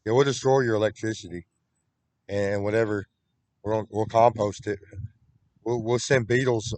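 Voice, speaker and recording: A man's voice: lowish voice, a little mellow, no echo, poor audio. A man talking in a rambling, repetitive solo monologue, with frequent restarts, recorded on a computer webcam.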